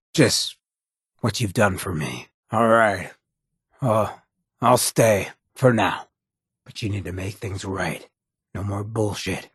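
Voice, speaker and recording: Casting: roguish ghoul game companion, heavily rasping low voice, streetwise, blunt, loyal, slangy drawl. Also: male voice, no room sound, studio quality, full character voice. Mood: confessional. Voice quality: husky, gravelly rasp. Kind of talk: video game companion dialogue.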